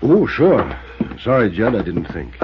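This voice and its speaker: An actor playing a polite, plain-spoken farmer with an American accent in a 1950s radio drama. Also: male voice, on the low side, huge pitch swings, unhurried, husky, full character voice. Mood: polite, surprised. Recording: muffled, noisy 1950s radio recording.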